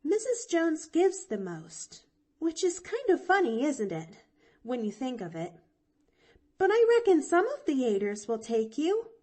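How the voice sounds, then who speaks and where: Woman doing a high, chattering little girl. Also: wildly swooping pitch, a little slow, dark tone, exaggerated voice. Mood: eager. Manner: eager, chattering, folksy drawl. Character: cheerful talkative little girl. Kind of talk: audiobook reading.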